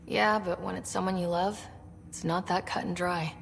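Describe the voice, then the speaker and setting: A young explorer daughter in a game, earnest and touched by grief. Her slightly low voice has a creaky edge and rings in a long reverb. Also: steady tone, moderate pace. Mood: grieving, reflective. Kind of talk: video game voice acting. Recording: reverberant hall, faint noise.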